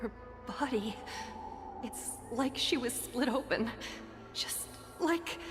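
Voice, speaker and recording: Shaken, high-voiced battlefield messenger. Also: female voice, takes it slowly. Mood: grave, shaken. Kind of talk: dramatic video game voice acting. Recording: long cavernous reverb, music.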